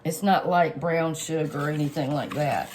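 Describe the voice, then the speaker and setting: A woman, a little hoarse and stuffed up, chatting homily through a cooking tutorial for her viewers. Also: low-pitched, fairly even intonation, normal speed, background noise. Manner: homey, chatty, lots of fillers, addresses viewers directly. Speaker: home cook channel host.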